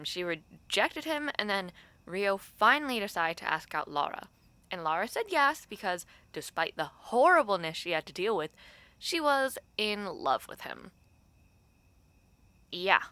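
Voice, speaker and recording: A woman acting as a young university dormmate in an audio roleplay, gossipy and teasing. Her voice is bright and crisp-edged, recorded dry and close. She talks casually and conversationally, like late-night chat with a close friend, with filler words and pauses. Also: lowish voice, no echo, full character voice.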